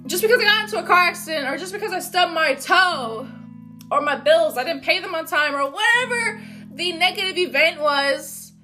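A loud, upbeat woman, hosting her own motivational podcast. Her voice sits high and bright, and she talks in an encouraging, casual way. Soft guitar music plays faintly behind her. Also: expressive, moderate pace. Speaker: podcast host. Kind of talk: motivational solo podcast talk.

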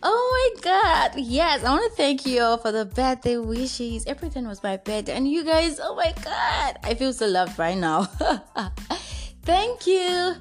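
A Nigerian woman hosting a podcast in a chatty, bubbly, playful and expressive way. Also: sits high, a little slow, soft background music. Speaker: podcast host and drama producer. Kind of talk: casual chatty podcast talk.

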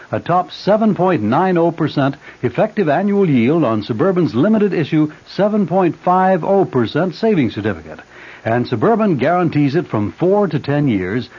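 Animated, husky male radio announcer. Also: fairly high pitch. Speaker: commercial announcer. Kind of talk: scripted 1970s radio advertisement. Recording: dull old radio broadcast recording.

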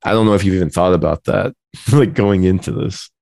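A man with a gravelly voice chatting casually as a podcast co-host, in a self-deprecating, joking way. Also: sits low, fairly quick, a little mellow, no room sound, clean studio sound.

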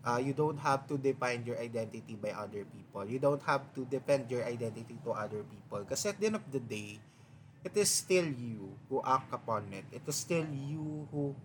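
A man in his twenties with a Filipino accent, hosting a casual reflective podcast and tagging his phrases with quick questions. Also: medium pitch, animated, noisy background. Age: 20s. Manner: chatty, mixes English and Tagalog, ends phrases with "diba?". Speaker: podcast host.

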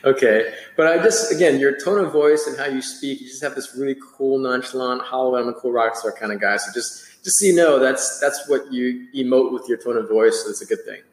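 A man's voice: mid-range voice, brisk, hardly any pauses. A man hosting a podcast, enthusiastic and asking long, winding questions. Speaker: podcast host. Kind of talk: podcast interview. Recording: roomy echo.

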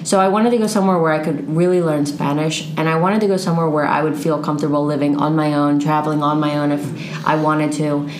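A young woman with a low voice tells her story in an easy, chatty way, laughing now and then. She is recorded in an echoey room with a faint hiss behind her. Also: steady tone, fast. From Pennsylvania, United States. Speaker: guest. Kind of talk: casual interview.

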